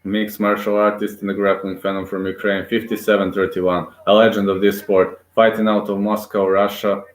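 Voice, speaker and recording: Brief: male fan commentator, lowish voice, excitable play-by-play, roomy echo. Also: moderate pace. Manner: excitable, rooting aloud, frequent fillers. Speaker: amateur fight commentator. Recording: echoey room.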